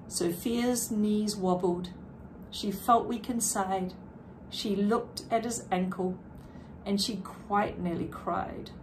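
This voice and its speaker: A woman reading a rhyming picture book aloud expressively, with deliberate dramatic emphasis. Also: mid-range voice, darkish tone, a little hiss or hum, rough recording. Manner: deliberate, dramatic emphasis with brief explanatory asides. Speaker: children's book reader.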